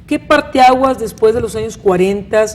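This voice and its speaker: A northern Mexican woman with a somewhat high voice hosts a conversational panel. She winds through her questions and often seeks agreement. There is faint background noise on the recording. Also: moderate pace, a little mellow. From Monterrey, northern Mexico. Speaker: talk show host.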